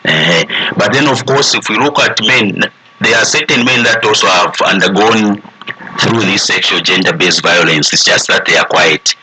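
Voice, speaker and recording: A man with a Zambian accent answering as a guest in a radio discussion, speaking deliberately with short pauses. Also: on the low side, over a noisy crowd, rough recording. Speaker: studio guest. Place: radio studio. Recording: radio broadcast, voices in background.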